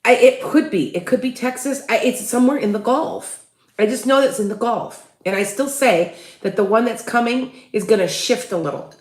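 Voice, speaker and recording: A woman's voice: a little high, moderate pace, echoey room. Rambling woman with a Chicago accent. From Midwest US. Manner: rambling, many restarts, asks viewers for tips. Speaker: psychic livestream host. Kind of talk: casual livestream chat.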